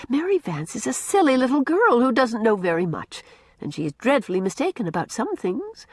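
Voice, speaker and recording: A tender young woman from a storybook, speaking softly and gently to a child, as a woman reader plays her. Her breathy voice moves through a lively range, and the recording is close and dry. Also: mid-range voice, dry recording. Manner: soft, gentle.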